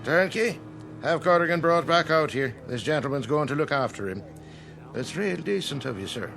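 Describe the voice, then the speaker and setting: An actor playing a brusque, sardonic and folksy police sergeant in a period radio drama. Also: male voice, a little high, expressive, normal speed, creaky, fairly bright tone, faint music behind. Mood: respectful, wary. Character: gruff, skeptical male police sergeant of the 1860s.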